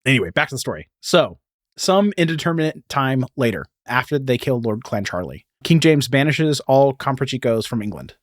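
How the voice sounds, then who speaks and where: A man's voice: medium pitch, very animated, normal speed, no echo, clean studio sound. A man hosts a podcast in a mid-range voice with very little room sound. He explains history and film plots informally, like casual storytelling. He often wanders into asides before picking the thread back up. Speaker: podcast host.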